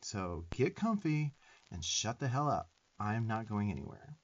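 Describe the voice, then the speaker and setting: A man's voice: lowish voice, sing-song, unhurried, heavily acted. Man playing a sassy, scolding little demon twink, muffled. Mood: exasperated scolding. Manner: sassy, scolding, profane, emphatic. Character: sarcastic, bossy, affectionate little young male demon twink. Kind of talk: roleplay ASMR affirmations.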